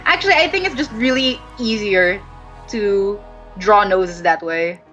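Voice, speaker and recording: A woman's voice: medium pitch, expressive, measured pace, a bit bright, dull audio, faint music behind. Rambling woman guest on a podcast. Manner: rambling, many fillers and restarts. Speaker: podcast guest, webcomic creator. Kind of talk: casual podcast chat.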